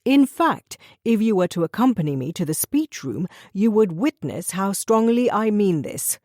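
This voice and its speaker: A woman's voice: mid-range voice, animated, normal speed, darkish tone, no room sound, studio quality, close to an everyday voice. Give me a woman playing a stiff, evasive official, formal and pompous. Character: smooth evasive older male school official.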